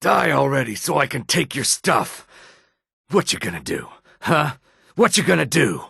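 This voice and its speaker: A man voicing a reptilian Argonian assassin and outlaw in a video game, with a rasping, husky voice. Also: mid-range voice, sing-song, moderate pace, bright, forceful tone, dry recording, clean studio sound, exaggerated voice. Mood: menacing, taunting. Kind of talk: video game character dialogue.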